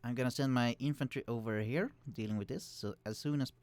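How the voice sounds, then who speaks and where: A man talks through a strategy game as he plays, explaining units and tactics in a loose, conversational way. He pauses often with fillers and restarts his sentences. His voice sits at an ordinary middle pitch and is recorded at a quiet level. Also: dry recording. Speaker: let's play host. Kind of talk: casual gameplay commentary. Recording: quiet voice, turned down to hide computer hum.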